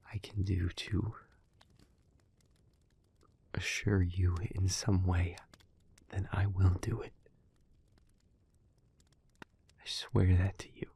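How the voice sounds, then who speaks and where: An earnest demon in love , acted by a man, speaks in a low, gravelly half-whisper. He picks his words slowly and haltingly, as if weighed down by guilt, in an intimate dramatic roleplay monologue. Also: heavily acted. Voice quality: breathy, husky, whispery. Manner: slow, halting, hushed delivery with pauses. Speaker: audio roleplay voice actor.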